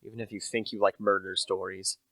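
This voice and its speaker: A man's voice: sits low, flat delivery, fairly quick, a bit bright, no echo, rough recording. A young man acting as a humble, anxious salesman telling a murder tale in an amateur radio play. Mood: troubled confiding. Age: teenager to young adult. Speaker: actor, narrator.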